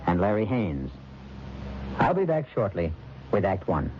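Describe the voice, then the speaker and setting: A man hosting an old-time radio mystery show, narrating a script in a measured, dramatic, portentous manner. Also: low voice, big pitch swings, very noisy. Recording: muffled old radio recording, loud music behind.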